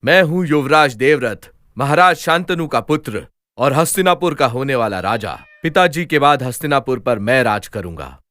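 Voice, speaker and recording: An actor playing a proud, devoted young crown prince in an animated myth, speaking in solemn declamations. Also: male voice, mid-range voice, normal speed, no room sound, studio quality, lightly acted. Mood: resolute, proud. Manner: declamatory, solemn vow-making. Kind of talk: dramatic animated mythological dialogue.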